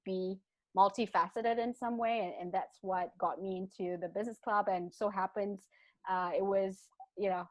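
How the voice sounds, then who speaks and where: A woman's voice: mid-range voice, moderate pace, dry recording. Woman with mixed British-Malaysian accent. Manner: animated, frequent restarts, laughs between thoughts. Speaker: guest. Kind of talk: casual interview talk. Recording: dull lo-fi dry sound.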